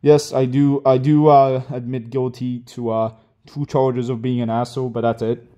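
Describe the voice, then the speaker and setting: Defensive young man, mellow voice. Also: medium pitch, little pitch movement, normal speed. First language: Hebrew. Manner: defensive, rambling with many fillers and restarts. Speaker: online gamer. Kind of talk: casual apology video.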